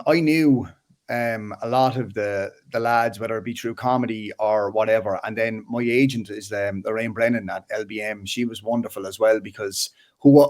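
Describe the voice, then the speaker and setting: A man in his thirties with a Waterford Irish accent, a filmmaker chatting casually as an interview guest, animated and full of restarts. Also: mid-range voice. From Ireland. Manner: animated, restarts often, frequent "like" and "you know".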